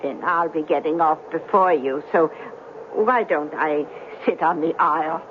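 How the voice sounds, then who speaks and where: An actress playing a gentle but firm woman in a 1970s radio drama, speaking in a measured way. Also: sits low. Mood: polite. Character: tender, firm remembered female sweetheart; polite bus passenger. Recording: tinny, faintly noisy 1970s radio recording.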